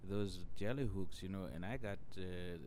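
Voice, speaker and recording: Guy hosting his podcast, lowish voice, keeps saying you know, little bit of hiss behind. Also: normal speed, a little mellow. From Zimbabwe. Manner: rambling, leans on "you know" constantly. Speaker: podcast host. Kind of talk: casual solo podcast storytelling.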